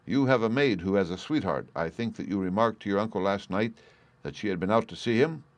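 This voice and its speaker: A man voicing a shrewd gentleman detective in a storybook, brisk, courteous and probing with quiet authority. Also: on the low side, no room sound, lightly acted.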